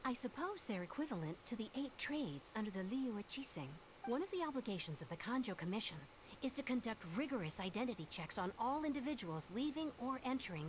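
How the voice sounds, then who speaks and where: A woman playing an earnest young female refugee in a video game, telling things in a measured, sincere way. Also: fairly high pitch, noisy background. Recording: game music behind the voice.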